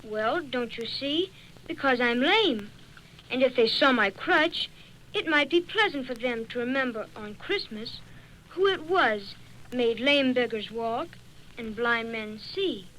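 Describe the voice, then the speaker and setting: A sweet, frail little Victorian boy for a radio play. The voice is high, bright and piously innocent, with faint noise in the recording. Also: animated, a little slow, low-quality recording. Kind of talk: radio drama acting.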